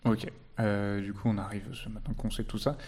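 A man with a somewhat low voice hosting a relaxed interview. He speaks informally, asking questions and often jumping in to complete his guest's thoughts. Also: moderate pace. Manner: informal, prompting and finishing the guest's sentences. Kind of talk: casual interview.

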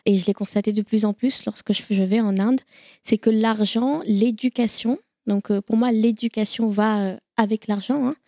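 Husky-edged woman, earnest and halting. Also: medium pitch, steady tone, mellow, no echo, studio quality. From India. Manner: earnest, halting with many fillers. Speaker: panelist. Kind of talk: live panel discussion.

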